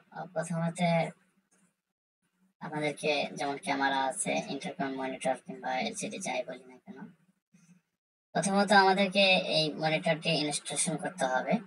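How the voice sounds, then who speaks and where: A woman giving an informal technical tutorial, explaining step by step in a halting, repetitive way. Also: deep voice, breathy edge, poor audio. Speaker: tutorial host.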